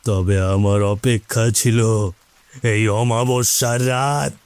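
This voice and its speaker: A man voicing a vengeful ghoul, a murdered village shopkeeper, in a grave, dramatic, halting cartoon delivery. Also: mid-range voice, darkish tone, clean tone, no echo. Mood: bitter, brooding. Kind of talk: Bengali animated moral-story acting. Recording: faint music behind.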